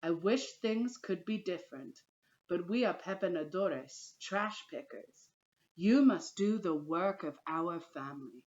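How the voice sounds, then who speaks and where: A woman's voice: on the low side, lively intonation, measured pace, darkish tone, dull audio. A woman reading aloud the part of a stern, resigned, poor father in a children's storybook. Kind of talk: storybook read-aloud character voice.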